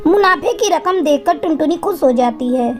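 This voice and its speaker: A woman's voice: very high voice, lively intonation, normal speed. A woman reading scripted narration for a children's cartoon moral story. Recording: music behind the voice.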